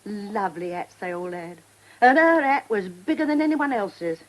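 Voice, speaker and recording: An actress playing a devoted, nostalgic old theatre dresser in a radio drama, garrulous and homely, with a working-class London accent. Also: female voice, mid-range voice, lively intonation, moderate pace, close to an everyday voice, poor audio. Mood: chatty, nostalgic. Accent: working-class London English. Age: older.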